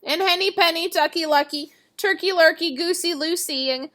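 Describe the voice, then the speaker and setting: A woman storyteller reads to children in a high, bright voice. Her delivery is expressive and made for a picture-book tale. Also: moderate pace.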